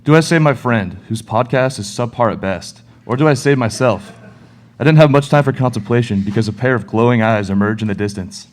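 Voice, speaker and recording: A young man playing a cocky musician in a radio play. He has a mid-pitched voice and tells his monster story with dry, slangy delivery. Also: a little fast, lightly acted. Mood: dramatic recounting. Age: young adult.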